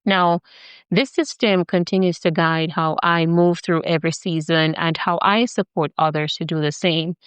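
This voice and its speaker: A middle-aged woman from Jamaica with a Jamaican accent, hosting a podcast introduction in a measured, deliberate way, with rhythmic lists of words. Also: on the low side, steady tone, clean tone, dry recording. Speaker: podcast host.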